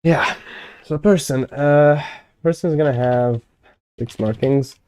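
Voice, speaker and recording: A young man whose first language is Hungarian chats on a live stream in a mellow, warm tone. The recording is dry and close, with no room sound. He thinks aloud, trails off with fillers and corrects himself often. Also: a little high, unhurried, clean tone, dry recording. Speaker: conlang streamer. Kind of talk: casual live-stream chat.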